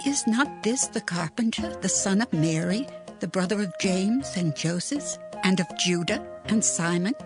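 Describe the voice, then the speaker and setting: A woman's voice: mid-range voice, animated, measured pace, lightly acted. A woman playing a hard, pointed woman of ancient times in a dramatized scripture production, with declamatory delivery. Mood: scornful doubt. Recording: music behind the voice. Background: prominent background music.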